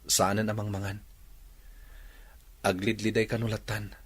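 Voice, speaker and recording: A young man's husky, fairly low voice in a radio drama. He is a playboy son, subdued and contrite, pleading earnestly. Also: little pitch movement, a little slow. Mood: subdued confessing. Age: young adult.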